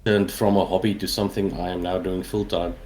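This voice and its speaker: A man's voice: low-pitched, flat delivery, brisk, a little mellow. A South African man in his forties, a native Afrikaans speaker, chatting casually as a game developer being interviewed. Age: 40s.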